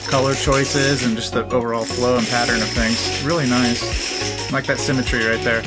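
A man's voice: mid-range voice, little pitch movement, low-quality recording. Upbeat man with music behind him. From United States. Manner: upbeat and enthusiastic, quick to praise. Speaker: domino video creator hosting a reaction video. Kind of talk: casual reaction commentary. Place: small indoor room at a desk. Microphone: desk condenser mic.

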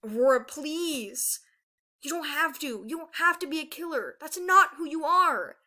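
Woman playing a young dragon girl, raised pitch, urgent, protective, emotional, fantasy storybook. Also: animated, measured pace, pushed and bright, exaggerated voice. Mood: pleading.

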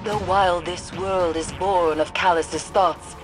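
A woman voicing a grave, mysterious mystic guide in a video game, solemn, measured and cryptic. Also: on the low side, steady tone, lightly acted, rough recording. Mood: solemn, urgent. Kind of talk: scripted video game acting. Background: game music.

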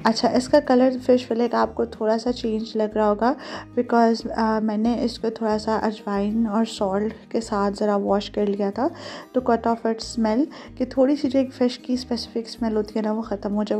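Female home-cook narrator, fairly high but very soft-toned voice, conversational step-by-step instruction with English phrases mixed in. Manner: mixes English words and phrases into her speech. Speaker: home cooking show host. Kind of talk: instructional recipe voice-over, conversational.

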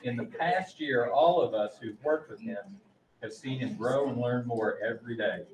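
A man's voice: mid-range voice, moderate pace. A middle-aged man speaking as a school official, making formal announcements and reading aloud in a large meeting room. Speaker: school official presenting staff and student awards. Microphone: distant room microphone. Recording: roomy, distant mic, faint noise.

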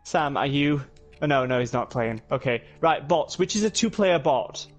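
A man chatting excitedly while playing a video game with friends, animated and jokey. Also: medium pitch, normal speed, soft background music. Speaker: gaming stream co-player. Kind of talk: excitable casual gaming chat.

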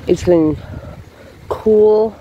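A woman's voice: medium pitch, drawn-out words, dull audio. Congested woman chatting on a walk. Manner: chatty, laughing, rambling storyteller. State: congested from a cold. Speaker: beauty vlogger. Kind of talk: casual walking vlog chat. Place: outdoors on sidewalks, walking. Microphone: handheld phone camera. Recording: noisy outdoor phone recording.

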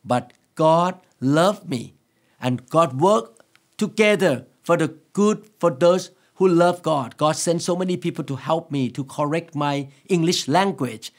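A man in his sixties from Thailand with a Thai accent, preaching to camera as a pastor in an earnest, emphatic, deliberate way. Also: a little high. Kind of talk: sermon to camera. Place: quiet indoor studio set. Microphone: lapel microphone.

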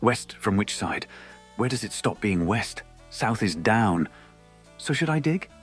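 Guy voicing a big gruff wolf knight, really low, earnest and kinda clueless, old timey talk, comic dub. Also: big pitch swings, a little slow, faint music behind, heavily acted. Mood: earnestly puzzled. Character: gruff, dim-witted but courteous half-wolf knight. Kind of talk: dramatic comic dub acting.

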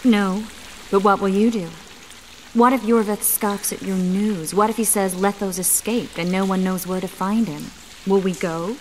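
A woman playing an earnest, caring young sorceress in a video game, speaking in a measured way. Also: medium pitch, fairly even intonation, slight background noise, close to an everyday voice. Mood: pressing.

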